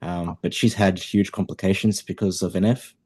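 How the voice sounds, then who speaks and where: Deep-voiced man, hesitant. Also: fairly even intonation, normal speed, a little mellow, husky. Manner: hesitant, many ums, dry humour. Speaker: podcast co-host. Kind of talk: casual chat.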